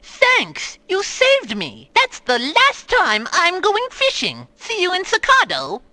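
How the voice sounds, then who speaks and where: Excitable game townsperson, slow and bright, cartoonishly exaggerated, noisy old game audio. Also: very high-pitched, no echo, full character voice. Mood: excited.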